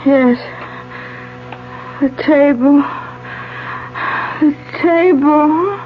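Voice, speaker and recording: An actress playing an excitable, nervous wife in a 1970s radio drama, with theatrical, hesitant delivery. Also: female voice, high-pitched, drawn-out words. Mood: anxious. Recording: muffled 1970s AM radio broadcast, music behind.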